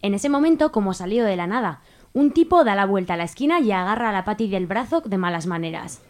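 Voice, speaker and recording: A woman's voice: mid-range voice, animated, fast talker, a little mellow. A woman reading scripted narration as the narrator of a radio soap.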